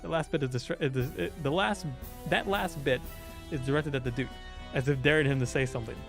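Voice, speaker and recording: A man's voice: mid-range voice, fairly quick, gravelly, over music. A man giving a dramatic read-aloud narration of a visual novel, now and then stumbling over his words. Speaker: game let's-play host and voice actor.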